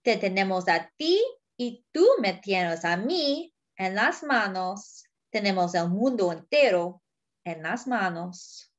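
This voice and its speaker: Slow, lively woman reading to children. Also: mid-range voice, muffled recording. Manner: simple phrases, pointing things out to children. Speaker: children's read-aloud storyteller. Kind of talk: picture-book read-aloud for young children, partly sung.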